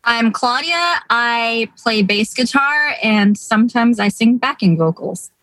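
A woman's voice: a little high, animated, unhurried, a bit bright, no room sound. An American woman from New York chatting informally as an interview guest. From Queens, New York, US. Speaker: band bassist, interview guest. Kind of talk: casual chat.

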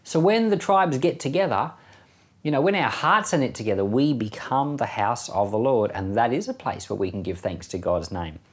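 Animated man teaching the Bible informally. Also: medium pitch, moderate pace. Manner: conversational, enthusiastic, with rhetorical questions. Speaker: pastor teaching a Bible podcast.

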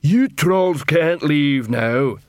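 A man voicing a big, slow-witted troll drill sergeant in an audiobook, blustering haltingly in broken grammar. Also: fairly high pitch, lively intonation, dry recording, clean studio sound, full character voice. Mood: blunt. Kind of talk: audiobook character voice.

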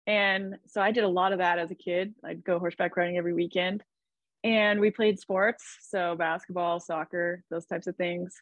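A woman's voice: on the low side, fairly even intonation, moderate pace, no echo. A woman from Idaho, a podcast guest, chatting in an upbeat way and laughing often. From Idaho, USA. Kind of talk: casual conversation.

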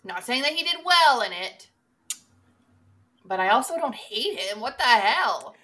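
A woman's voice: on the high side, big pitch swings, a little slow, pushed and bright. A woman co-hosting a podcast, chatting casually about films in an emphatic, opinionated and sweary way. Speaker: podcast co-host. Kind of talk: casual film chat.

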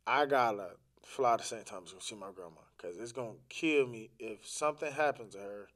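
A man's voice: fairly low pitch, a little fast. Casual, slangy man telling a personal story. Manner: animated retelling with quoted dialogue, repeats phrases for emphasis; informal, slangy, profane. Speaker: podcast guest.

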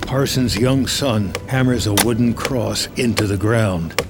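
A man reads a screenplay as narrator, measured and dramatic. His voice sits on the low side and he takes his time, with music clearly playing behind him. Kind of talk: scripted screenplay narration, present tense.

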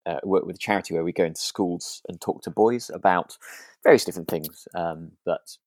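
A man co-hosting a relaxed discussion podcast, thoughtful and hesitant, often reworking his phrases. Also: low-pitched, fairly quick, no room sound. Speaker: podcast co-host.

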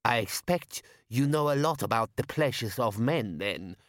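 A man's voice: medium pitch, animated, slow pace, pushed and bright, dry recording, clean studio sound. A man voicing a sour, disapproving older woman. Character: sour older mother-in-law.

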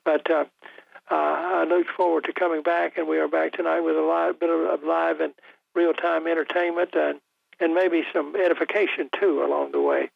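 An older man hosting a call-in radio talk show, talking in a halting way with many fillers and restarts, his voice a little rough. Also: fairly high pitch, level tone, no room sound. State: voice slightly rough after illness. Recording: dry, tinny broadcast audio.

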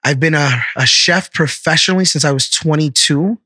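A man's voice: a little high, moderate pace, pushed and bright, breathy edge, no echo, clean studio sound. A man in his thirties, a chef telling earnest, proud stories in a casual interview. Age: 30s. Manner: earnest storyteller, proud, repeats words.

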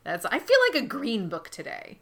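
A woman's voice: medium pitch, sing-song, normal speed, a bit bright. A woman guest on a podcast, chatting casually about books with hesitant phrasing and trailing asides.

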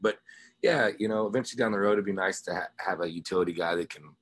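A man's voice: low voice, quick pace, a bit bright, slightly rough, no room sound. An American man from the Ozarks chatting casually as a musician being interviewed, in a rambling, slangy, informal way. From Ozarks, United States. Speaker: interview guest, rock musician.

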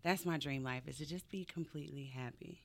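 Upbeat fitness coach in her thirties, low voice, from Atlanta, dry studio sound. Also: fairly quick, vocal fry, no echo. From Atlanta, Georgia. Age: 30s. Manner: upbeat and playful. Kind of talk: casual interview chat.

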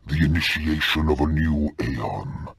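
A sinister occult narrator speaks in an extremely deep, badly rasping voice. The delivery is slow, solemn and portentous, like a horror prologue proclaiming a dark ritual. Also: male voice, dry recording, clean studio sound, exaggerated voice. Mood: ominous, solemn. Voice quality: very deep, gravelly rasp. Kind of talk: dramatic scripted horror intro.

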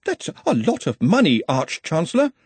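A man's voice: on the high side, wildly swooping pitch, normal speed, slightly rough, no echo, pitched above the performer's own voice, full character voice. A man voicing a fussy, sarcastic academic wizard, formal and disapproving, in a fantasy audiobook. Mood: incredulous, disapproving.

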